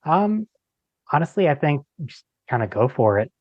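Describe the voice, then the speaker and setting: A man's voice: a little high, sing-song, normal speed, husky, dry recording. A man speaking as a podcast guest in a casual, informal chat, recorded with slightly lo-fi sound. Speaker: podcast guest, creator of an audio drama.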